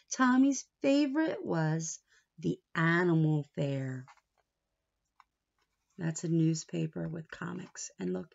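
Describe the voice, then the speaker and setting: A woman's voice: fairly low pitch, creaky, dull audio. A woman reading a story aloud to young children like a teacher, expressively, with asides and questions left open for answers.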